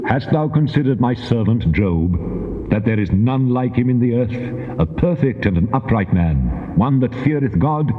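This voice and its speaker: A majestic, commanding deity, played by a man, solemn and booming in a Bible drama. The delivery is animated in pitch and drenched in long cavernous reverb on a dull tape recording. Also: measured pace, lightly acted. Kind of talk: dramatized scripture reading, theatrical.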